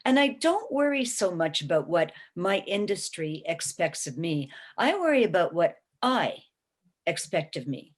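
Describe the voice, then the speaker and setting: A woman's voice: lowish voice, big pitch swings, a little slow. A woman in her sixties speaking on a webinar panel, emphatic and encouraging, often checking in with "okay?". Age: 60s. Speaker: panelist, small business owner. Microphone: video call.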